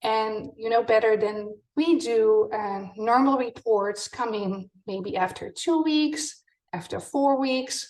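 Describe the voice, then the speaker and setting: A woman's voice: a little high, slow pace, a little mellow, recorded from a distance, rough recording. A woman with a Dutch accent giving an earnest product presentation in a webinar, with frequent ums and uhs. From Netherlands.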